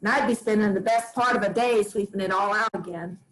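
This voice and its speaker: A woman's voice: medium pitch, a little fast, lightly acted, rough recording. A woman reading a wry old farm widow. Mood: dry. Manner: dry, wry, plainspoken rural talk. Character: Grams, weary old farm widow, dry and stubborn. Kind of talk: staged play reading.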